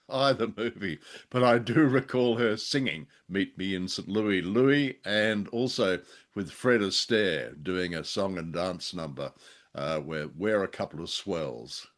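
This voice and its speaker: A man with a fairly low voice chats as a show co-host. He talks in a hesitant, searching way with a dry, wry sense of humour. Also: lively intonation, measured pace. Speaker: podcast co-host. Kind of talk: conversational discussion of old radio drama.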